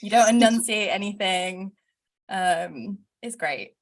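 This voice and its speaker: A woman from Nottingham with a standard English university accent, cohosting a casual book chat over a video call and talking lively with laughing asides. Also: medium pitch, slow pace. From England, Nottingham.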